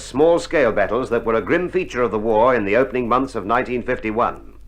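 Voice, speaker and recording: An actor playing a war correspondent, narrating an old radio docudrama with grave authority. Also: male voice, mid-range voice, fairly bright tone. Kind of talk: 1960s radio documentary-drama narration. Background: music.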